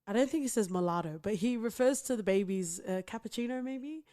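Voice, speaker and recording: Woman podcast co-host, higher voice, chatty and laughing, casual. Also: animated, a little fast, dark tone. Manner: chatty, laughs easily, swears. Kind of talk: casual chat.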